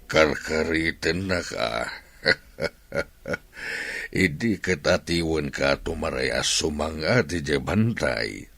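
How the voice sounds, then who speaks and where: An actor with an Ilocano accent playing an aging, hearty and fond rural father in a radio drama, his voice gravelly and husky. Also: extremely low pitch, animated, slow pace, pauses often, a bit bright, no echo, exaggerated voice. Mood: weary, fond.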